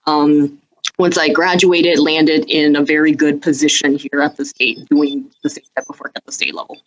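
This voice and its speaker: A woman in her thirties who grew up speaking Cantonese answers interview questions. Her voice sits low, and she speaks in a measured way with frequent ums. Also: flat delivery, highs cut off, a little hiss or hum. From southern China, raised in Hawaii. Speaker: state health official, guest.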